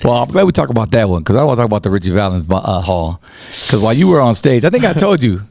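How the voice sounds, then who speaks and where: A man's voice: mid-range voice, big pitch swings, racing, vocal fry, darkish tone. A man hosting a podcast in casual chat, loose and joking, swearing freely as he asks questions. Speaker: podcast host.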